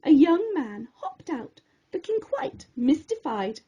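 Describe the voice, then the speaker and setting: A woman reading a rhyming bedtime story in an expressive, theatrical way, on a slightly dull recording. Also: high voice, takes it slowly. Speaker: storybook reader.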